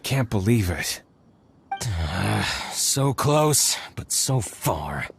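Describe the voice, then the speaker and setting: Male game loser: dejected, very slow, creaky, faint noise behind. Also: lowish voice, very animated, full character voice. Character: dejected male contestant, sighing in defeat. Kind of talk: video game character acting.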